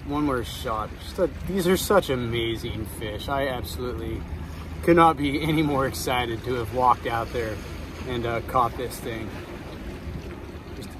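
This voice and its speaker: Young male outdoor vlogger, eager and exclaiming, camera mic with clear outdoor noise behind. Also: medium pitch, measured pace. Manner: enthusiastic, exclaiming. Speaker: fishing vlogger. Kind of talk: casual outdoor vlog narration. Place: outdoors on a coastal jetty and grassy shore. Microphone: camera mic at arm's length. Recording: outdoor noise from wind and surf.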